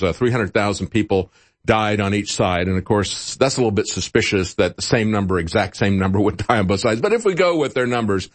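A man hosting a talk radio show, reading product offers briskly and then giving earnest opinions to a guest. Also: fairly low pitch, no room sound.

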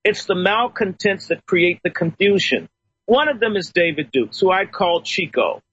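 A man's voice: high voice, animated, moderate pace, a bit bright, muffled recording, a little hiss or hum. A man phoning in as a guest on a talk radio show, telling personal stories emphatically.

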